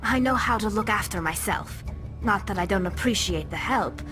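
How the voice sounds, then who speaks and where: A woman's voice: mid-range voice, lively intonation, normal speed, music in the background, natural voice. A young actress playing an earnest alien engineer in a video game, patiently explaining her people's ways. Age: young adult.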